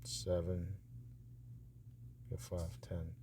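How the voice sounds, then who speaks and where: A man talking casually and off the cuff as he reviews narrator auditions, halting and repetitive, with a reassuring manner. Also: sits low, completely flat delivery, mellow, a little hiss or hum.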